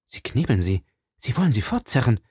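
A man's voice: mid-range voice, sing-song, fairly quick, a little mellow, slightly rough, no room sound, clean studio sound. A man voicing a bold, hot-headed young male swordsman, impulsive and commanding. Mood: urgent.

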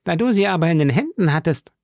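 A man voicing a boisterous, hot-headed musketeer with a loud, exclaiming manner in an audiobook story. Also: high voice, lively intonation, moderate pace, creaky, dry recording, studio quality, voice pushed up. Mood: exasperated.

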